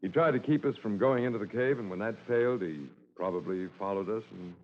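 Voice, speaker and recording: An actor playing a brusque, impatient husband leading an expedition in a radio drama, curt and dismissive. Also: male voice, on the low side, a little fast, natural voice. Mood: determined. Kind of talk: 1950s radio drama acting. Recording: muffled, noisy old radio recording.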